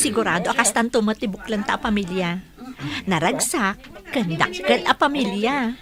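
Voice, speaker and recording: A woman's voice: medium pitch, expressive, normal speed, close to an everyday voice. An actress playing a playful, affectionate young girlfriend who teases and giggles, with crowd noise behind her. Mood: teasing. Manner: teasing, giggly. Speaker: radio drama actor. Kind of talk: radio drama acting. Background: park crowd.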